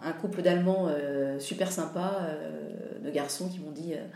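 A woman's voice: low voice, fairly even intonation, normal speed, creaky, mellow. A woman from France talking casually as a podcast guest, hesitating often with fillers and restarts. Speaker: interview guest. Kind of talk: casual interview conversation. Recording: roomy echo.

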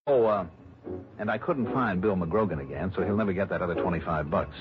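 A man's voice: low voice, a little fast, over music, natural voice. An American man playing a wry, weary insurance investigator in a 1960s radio drama, dry and sardonic. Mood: smug, wry. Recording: muffled old radio recording.

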